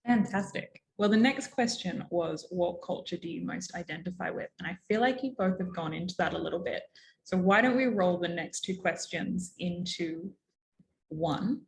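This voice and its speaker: A woman's voice: lowish voice, moderate pace, gravelly, rough recording. A woman, asking questions as an interviewer in a friendly, structured chat.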